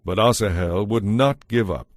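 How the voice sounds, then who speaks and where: A man's voice: on the low side, normal speed, no room sound, studio quality. A man narrating a dramatized audio Bible as a scripted storyteller.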